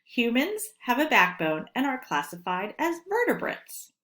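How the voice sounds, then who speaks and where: Slow, expressive woman teacher. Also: a little high. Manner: deliberate, instructional, emphatic on key words. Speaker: elementary school teacher. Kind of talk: read-aloud science lesson for children.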